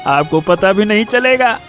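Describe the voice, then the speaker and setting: A man playing a spoiled, menacing young bully from a rich family in a story, taunting with drawn-out words. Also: extremely high pitch, a bit bright, exaggerated voice. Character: menacing, mocking young village bully, rich merchant's son. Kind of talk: Hindi audio story dramatic acting.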